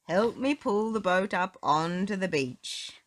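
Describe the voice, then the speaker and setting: A woman voicing a patient, friendly old fisherman in a children's storybook, with slow, drawn-out delivery. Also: mid-range voice, lively intonation, lightly acted.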